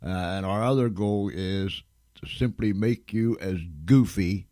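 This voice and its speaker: A man's voice: on the low side, measured pace, dark tone, no room sound, studio quality. A man hosting an informal comic radio show, with a folksy, joking, chuckling way of talking. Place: radio studio.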